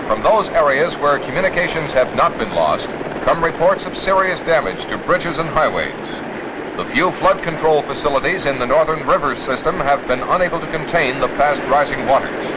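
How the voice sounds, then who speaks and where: An American man reading scripted 1960s newsreel narration in a formal, dramatic announcer style. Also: fairly high pitch. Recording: noisy old newsreel soundtrack.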